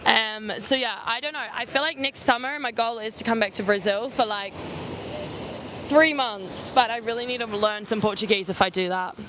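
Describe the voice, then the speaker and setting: A woman's voice: on the high side, normal speed, a bit bright, rough recording. A woman in her twenties with a New Zealand accent, chatting casually on a phone livestream outdoors, full of "like" fillers. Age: 20s. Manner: chatty, filler-heavy with frequent "like". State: sweaty, squinting in the sun. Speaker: travel vlogger. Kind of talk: casual livestream chat answering viewer questions. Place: outdoors on a beach promenade. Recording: faint outdoor street noise. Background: faint street and traffic noise.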